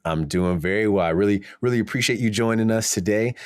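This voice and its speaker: Deep-voiced relaxed male podcast host. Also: quick pace, no room sound, clean studio sound. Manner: easygoing, uses fillers like "you know". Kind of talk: conversational interview.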